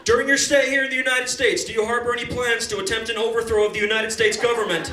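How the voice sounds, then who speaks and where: A man's voice: very high-pitched, level tone, quick pace, crowd noise, voice pushed up. A man voicing a stern male airport customs officer who questions in a stiff official tone, recorded from a distance in an echoey club with an audience.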